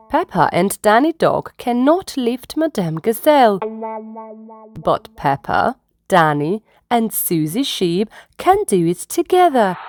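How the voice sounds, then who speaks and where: A woman's voice: mid-range voice, darkish tone, dry recording. A woman reading a children's storybook aloud as a narrator. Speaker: storybook reader and channel host.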